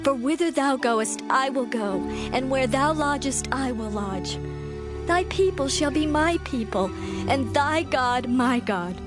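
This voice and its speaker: An actress playing a steadfast, devoted young widow in a dramatized scripture reading, speaking with earnest solemnity. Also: female voice, sits high, expressive, a little slow. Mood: devoted, resolute. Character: devoted, steadfast young widowed daughter-in-law of Bible times. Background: music.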